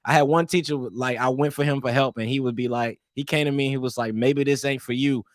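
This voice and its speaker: A young man from East St. Louis, guest on an interview stream, telling his story in a relaxed, rambling way with lots of "man" and restarts. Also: mid-range voice, brisk, no echo, clean studio sound. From East St. Louis, Illinois.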